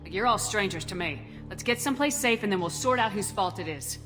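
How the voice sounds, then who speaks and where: An actress playing a guarded, decisive military commander in a video game, blunt and firm in manner. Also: female voice, medium pitch, animated, a little fast, lightly acted. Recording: reverberant hall under music.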